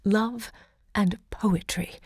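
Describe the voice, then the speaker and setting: Grand, slow-speaking romantic salon hostess. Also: female voice, medium pitch, steady tone, darkish tone, husky, dry recording, clean studio sound, exaggerated voice. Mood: proud, impassioned. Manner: theatrical, declamatory, eloquent. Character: grandly romantic, self-assured literary salon hostess and seductress. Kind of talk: audio drama monologue.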